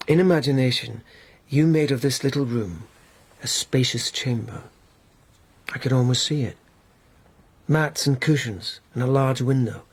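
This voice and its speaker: An actor playing a shrewd young lieutenant in a radio drama, measured and quietly provocative. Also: male voice, medium pitch, slightly rough. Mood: probing. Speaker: investigator in a radio play. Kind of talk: British radio drama acting.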